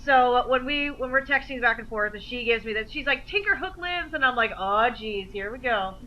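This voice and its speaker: Bright, high-voiced woman chatting casually. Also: animated, moderate pace, dull audio, a little hiss or hum. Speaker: podcast co-host. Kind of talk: casual chat.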